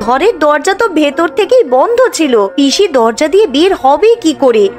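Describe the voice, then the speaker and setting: Woman acting very high-pitched village housewife. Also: very animated, moderate pace, a little mellow, faint music behind. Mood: worried. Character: busy, anxious young village housewife and mother. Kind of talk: cartoon dialogue.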